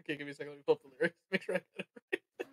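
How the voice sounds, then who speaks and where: A woman's voice: deep voice, fairly even intonation, racing, darkish tone. A woman streamer chatting casually with friends on a livestream.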